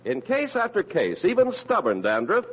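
A male announcer reads a 1940s radio commercial. His voice is high for a man and very animated, with a husky edge. The recording is muffled. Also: normal speed. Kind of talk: scripted 1940s radio advertising.

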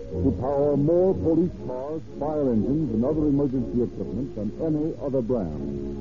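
Male 1930s radio announcer, formal scripted read, mid-range pitch, very limited old-broadcast bandwidth. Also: dull audio. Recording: thin old radio broadcast recording, music.

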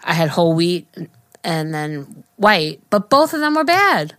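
A woman co-hosting a laid-back podcast, talking in loose, rambling runs that restart mid-thought. Her voice sits a little low and the sound is dry and close, with no room around it. A faint throat tickle gives her the odd cough. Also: sing-song, a little slow, fairly bright tone, dry recording. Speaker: podcast co-host. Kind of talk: casual chat.

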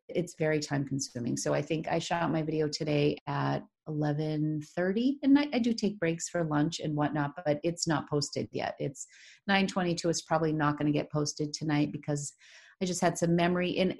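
Low, gravelly woman chatting. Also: normal speed, darkish tone. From Massachusetts, USA. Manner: chatty, rambling, laughs often. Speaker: podcast co-host. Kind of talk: casual chat.

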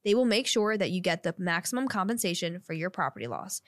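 A young adult woman hosting a real estate podcast, chatty and quick to agree. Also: lowish voice, lively intonation, no room sound, clean studio sound. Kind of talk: casual interview chat.

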